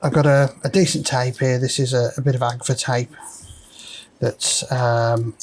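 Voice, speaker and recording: A man's voice: medium pitch, little pitch movement, measured pace, a little mellow. A man hosting a casual, unscripted repair walkthrough, thinking aloud hesitantly as he works. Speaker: host of a repair video.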